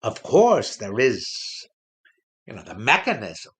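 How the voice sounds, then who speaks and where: A man playing a gullible wooden ventriloquist dummy sidekick in a comic audio play, hesitant, agreeable and easily amazed, with a husky voice. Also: medium pitch, wildly swooping pitch, slow pace, fairly bright tone, exaggerated voice. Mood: agreeable, puzzled.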